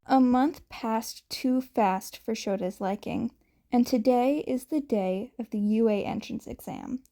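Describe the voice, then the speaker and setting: A woman reads fiction aloud in a slightly high, mellow voice. She takes her time through each sentence, keeping a measured storytelling delivery. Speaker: fan fiction reader. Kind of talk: scripted story narration.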